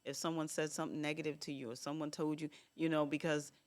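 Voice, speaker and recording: A woman's voice: low-pitched, level tone, quick pace. A woman in her fifties co-hosting a podcast, talking casually and stressing points by repeating them. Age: 50s. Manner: emphatic, repeats phrases for stress. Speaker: podcast co-host. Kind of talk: casual chat.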